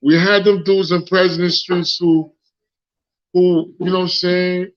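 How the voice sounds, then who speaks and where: A man's voice: high-pitched, level tone, measured pace. Haitian man on a lo-fi phone line. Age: 40s. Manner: frank and reflective, repeats words while searching for them. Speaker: guest, founder. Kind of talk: casual live interview. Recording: lo-fi phone audio.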